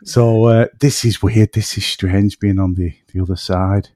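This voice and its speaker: A man's voice: fairly low pitch, fairly even intonation, measured pace, dark tone, no room sound, studio quality. A man from North West England with a northern English accent, from between Manchester and Liverpool, chatting casually as a podcast guest and rambling off topic. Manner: rambling, self-deprecating, drifts off topic. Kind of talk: casual chat.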